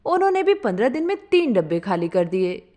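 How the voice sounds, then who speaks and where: A woman narrating a humorous first-person story in an expressive, conversational way. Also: fairly high pitch, a little fast.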